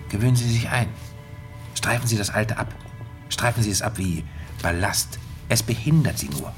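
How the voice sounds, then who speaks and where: A very low male voice plays an insinuating mentor and psychologist in a radio drama. He weighs each phrase and pauses deliberately, controlling and smooth. Faint music runs underneath. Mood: solemnly persuasive. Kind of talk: German radio drama acting.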